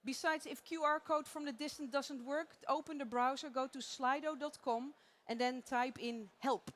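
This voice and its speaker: A woman with a Dutch accent gives a live talk in a conference theater. She is playful and chatty and laughs often. Her voice sits a little higher than most women's. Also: steady tone, normal speed. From Netherlands. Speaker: conference presenter.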